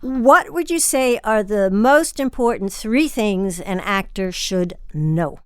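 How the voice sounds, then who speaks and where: A woman's voice: mid-range voice, slow, clear, resonant tone, dry recording. Woman radio host, dry close sound. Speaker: radio show host, interviewer. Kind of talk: interview questions and announcing.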